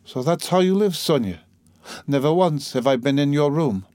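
A dying mother in a classic novel, acted by a male narrator, pitched well up from his own voice. She sounds faint and gasping, bitter and delirious, rambling fretfully and dramatically in a dry recording. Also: expressive, normal speed, a little mellow, dry recording, natural voice. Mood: weak, reproachful.

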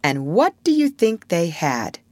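A prim young girl storyteller , acted by a woman, motherly and grandly dramatic. Her pitch rises and swoops as she builds each phrase, unhurried and theatrical, in a dry, close storybook recording. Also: big pitch swings, a little mellow, no echo. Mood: complacent.

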